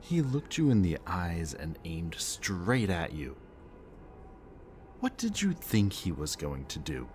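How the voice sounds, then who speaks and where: A man acting a brooding villain kidnapper in an audio roleplay, mockingly polite and weary, speaking in a deliberate, theatrical way. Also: low voice, huge pitch swings, a little mellow, a little hiss or hum, heavily acted. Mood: tense, weary.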